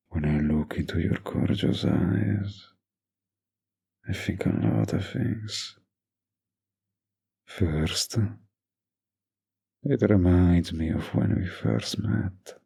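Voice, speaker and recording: An actor playing a doting, dominant young mafia heir in an intimate boyfriend roleplay, with a gravelly, husky voice and a murmured, teasing, possessive manner. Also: male voice, deep voice, dark tone, heavily acted.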